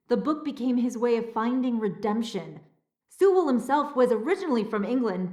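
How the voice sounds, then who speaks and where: A woman's voice: on the high side, echoey room, natural voice. An actress playing a scholarly, slightly flustered female castle historian in a video game, speaking earnestly and precisely. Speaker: historian and relic keeper. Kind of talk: video game dialogue, expository.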